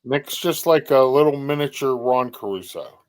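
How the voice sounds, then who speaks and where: A man's voice: mid-range voice, moderate pace. A man hosting a live stream, chatty, welcoming viewers by name and sometimes tripping over his words. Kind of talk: casual live-stream chat.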